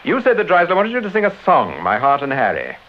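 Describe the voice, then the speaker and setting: An actor playing a suave, self-assured British gentleman detective, urbane and decisive, in a radio drama. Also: on the high side, huge pitch swings, fast, a little hiss or hum. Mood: calm, probing. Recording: dull old radio drama recording.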